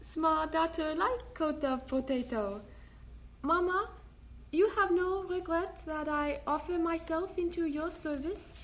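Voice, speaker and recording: Very high-voiced, humble servant. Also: female voice. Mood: eager, humble. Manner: halting, deferential broken phrasing. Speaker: actor in an audio drama. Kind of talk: audio drama dialogue.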